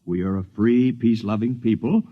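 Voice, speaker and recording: A man's voice: on the low side, normal speed, no room sound, lightly acted. An actor playing an earnest, polite envoy of a new nation pleading his case in a 1950s radio drama, a little hesitant. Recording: lo-fi 1950s radio broadcast, dry studio.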